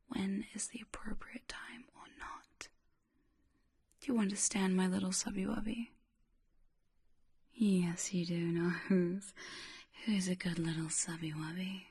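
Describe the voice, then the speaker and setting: A woman whispering an ASMR hypnosis session close to the mic, coaxing and soothing, repeating phrases slowly. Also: medium pitch, darkish tone, slightly rough.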